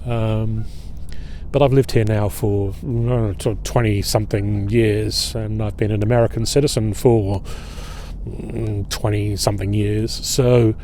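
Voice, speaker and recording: A middle-aged man leading an outdoor history tour at a waterfront fort. He talks in a relaxed, halting way with many filler pauses. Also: lowish voice, slight background noise. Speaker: historian and battlefield guide.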